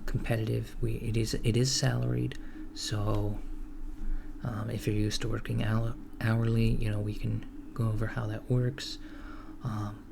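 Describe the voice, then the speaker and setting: A man in an ASMR roleplay playing a mild-mannered corporate recruiter of henchmen, soft-spoken, hesitant and politely matter-of-fact. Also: lowish voice, gravelly, background noise. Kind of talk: ASMR roleplay, job interview.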